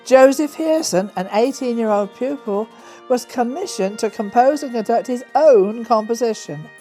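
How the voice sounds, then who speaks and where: A woman's voice: on the high side, normal speed, dark tone, faint music behind. A woman reading scripted narration for a local documentary.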